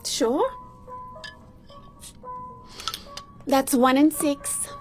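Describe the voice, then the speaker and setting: A young Jamaican bar manageress in a radio drama, her voice high and her delivery slow and unhurried. She is cheeky, teasing and frank but warm-hearted, with faint music in the recording. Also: animated. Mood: friendly, welcoming.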